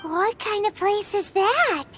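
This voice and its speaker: A woman voicing a tiny, curious female fairy companion in a video game, with a squeaky, childlike voice. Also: lively intonation, slow, over music, heavily acted.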